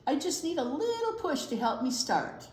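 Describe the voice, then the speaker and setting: A woman voicing a vain little French snail, lively. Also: high-pitched, normal speed, voice pushed up. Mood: vain, inviting. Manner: self-admiring, theatrical. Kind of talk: storybook read-aloud for young children. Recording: roomy indoor echo.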